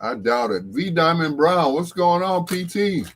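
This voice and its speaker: A man's voice: fairly high pitch, very animated, measured pace, slight background noise. A man hosting a casual livestream, talking to viewers in a rambling, conversational way. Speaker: livestream host.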